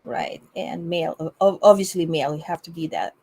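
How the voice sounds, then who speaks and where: A woman hosting a live interview, asking brisk questions and quickly acknowledging the answers. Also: fairly low pitch, gravelly, a little mellow, breathy edge. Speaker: interviewer, channel host.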